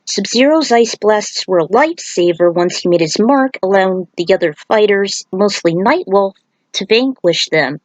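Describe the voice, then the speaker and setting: A woman's voice: medium pitch, expressive, moderate pace, dry recording, low-quality recording. A woman reading a scripted story aloud in a dramatized way, with the odd aside. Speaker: fan fiction reader.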